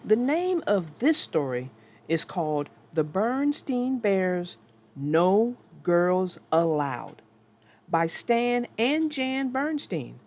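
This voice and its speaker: A woman narrating a children's read-aloud as storyteller, expressive and deliberate. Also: medium pitch.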